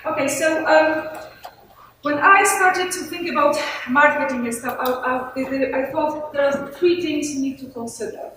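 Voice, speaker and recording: A woman's voice: high voice, measured pace. A woman with a Polish accent giving an informal business presentation, speaking hesitantly and often searching for words. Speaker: conference speaker on marketing. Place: indoor event room with audience. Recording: very echoey room, distant mic, clear noise.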